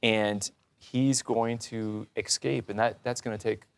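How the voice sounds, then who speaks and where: Male talk host, lower-pitched voice, earnest and reflective, conversational faith interview. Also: steady tone, a little slow, vocal fry, smooth.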